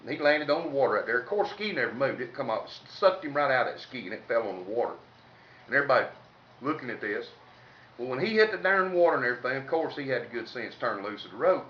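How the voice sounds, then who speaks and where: A man's voice: mid-range voice, big pitch swings, fast. A man with a Southern US accent telling humorous stories in a folksy, salty, colloquial way. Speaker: comedic storyteller. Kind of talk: informal humorous storytelling. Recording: roomy, distant mic, faint noise, dull sound.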